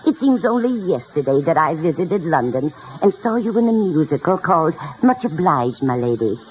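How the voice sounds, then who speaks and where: A woman's voice: low voice, sing-song, moderate pace, a little mellow. Spry, outspoken old lady. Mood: gracious. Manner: opinionated, genteel, playfully contrary. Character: spry, outspoken elderly mother enrolling in college. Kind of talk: 1950s radio comedy acting. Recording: dull, hissy old radio recording.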